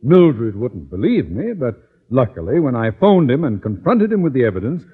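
A man's voice: medium pitch, very animated, moderate pace, breathy edge. An actor playing an indignant, self-important older man in an old-time radio comedy, telling his story emphatically. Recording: narrow, tinny vintage radio recording.

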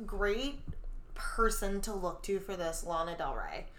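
A woman's voice: mid-range voice, animated, a little slow, a bit bright. A woman in her twenties co-hosting a fashion podcast, upbeat and agreeable, with many casual fillers. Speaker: podcast co-host. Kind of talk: casual chat.

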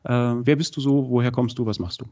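A man hosting a podcast in casual chat, with a cold, chatty and filling pauses. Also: medium pitch, steady tone, warm tone. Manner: chatty, many fillers. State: has a cold. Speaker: podcast host. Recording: dull lo-fi sound.

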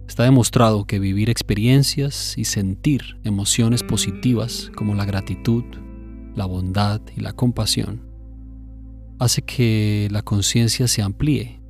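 A man narrating a scripted guided meditation in a measured, instructive way, pausing between phrases. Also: fairly low pitch, creaky, a little mellow.

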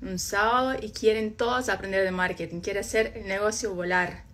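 A woman's voice: mid-range voice, steady tone, normal speed, fairly bright tone. A woman from Brazil with a Uruguayan-Argentine Spanish accent and Portuguese as her first language, hosting a casual live-stream chat. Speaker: host.

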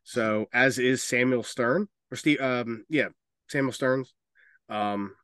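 A man's voice: medium pitch, slow, fairly bright tone, clear, resonant tone, no room sound, clean studio sound. A man hosting a casual movie podcast, talking informally and bluntly, with strong opinions and plenty of swearing. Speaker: podcast host.

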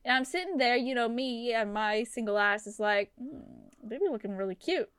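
A woman's voice: fairly high pitch, animated, normal speed, fairly bright tone, smooth, no echo, clean studio sound. A woman in her late twenties co-hosting a podcast, rambling and trailing off in casual chat. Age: 20s. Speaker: podcast co-host.

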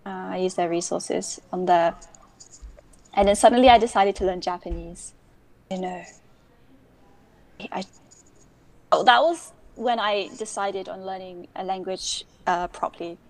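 A young woman talks casually as an interview guest. Her voice sits in an ordinary middle pitch, and she wanders from point to point, restarting her sentences often. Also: a little slow, pauses often, a little mellow. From United Kingdom. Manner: chatty and digressive, many restarts.

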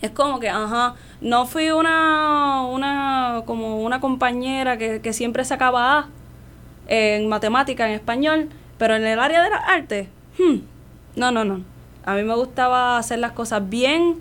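A young adult woman with a Puerto Rican accent, chatting casually as an interview guest and telling her story in an animated way. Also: on the high side, unhurried. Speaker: guest, classical soprano and voice student.

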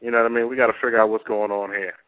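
A man's voice: fairly low pitch, level tone, rapid-fire, dry recording. Man hosting over a tinny phone-like line. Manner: slangy, repeats himself emphatically. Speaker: talk radio host. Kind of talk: casual call-in show chat. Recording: narrow, tinny phone-line radio sound.

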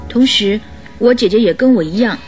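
A woman's voice: fairly high pitch, a little fast, a little mellow, soft background music, lightly acted. An actress playing a polite, earnest young lady in a radio drama, answering carefully and a little hesitantly.

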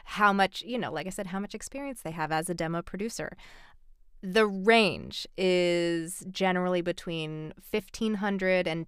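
A woman's voice: on the low side, clean tone, dry recording, studio quality. A woman hosting a podcast and giving informative, casual advice as a coach. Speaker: podcast host, voice acting coach.

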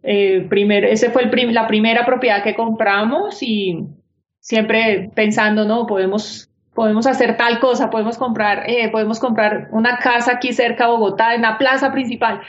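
A woman's voice: fairly high pitch, fairly even intonation, moderate pace. A woman, an architect and business owner, answering interview questions earnestly with many fillers. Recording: dull lo-fi sound.

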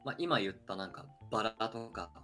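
A man's voice: fairly low pitch, lively intonation, fairly quick, music in the background. A young man co-hosting a radio show in casual, friendly-register conversation. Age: young adult. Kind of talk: casual chat.

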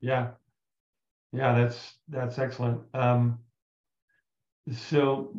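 A male interviewer at a middle pitch asks long, winding questions, stopping and restarting as he goes. His voice comes through a roomy space with a dull, lo-fi sound. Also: completely flat delivery, extremely slow, a little mellow. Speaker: interview host.

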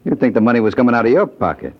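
An actor playing a controlled, quietly menacing crime boss type in an old radio detective drama. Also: male voice, mid-range voice, very animated, very fast, dull audio. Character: controlled, menacing wealthy crook being blackmailed. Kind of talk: 1940s-50s American radio detective drama acting.

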